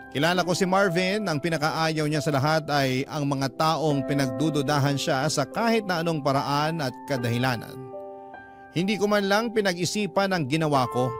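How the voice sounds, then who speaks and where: A remorseful, jealous spouse, voiced by a man narrating a radio drama confession. The voice is on the high side and earnest, with music clearly playing behind it. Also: close to an everyday voice. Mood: regretful. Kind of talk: scripted first-person radio drama narration.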